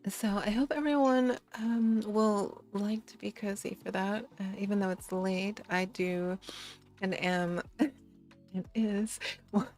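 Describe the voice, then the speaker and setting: A tired woman with a slightly raspy low voice, chatting in a sleepy, giggly, rambling way as a streamer on a late-night stream. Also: fairly even intonation, takes it slowly, darkish tone, faint music behind. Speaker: VTuber streamer.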